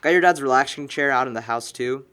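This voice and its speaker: A man's voice: medium pitch, fast, sharp tone, clean tone, close to an everyday voice. A young man playing a sarcastic, exasperated best friend in a casual audio drama. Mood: brisk, teasing. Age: young adult.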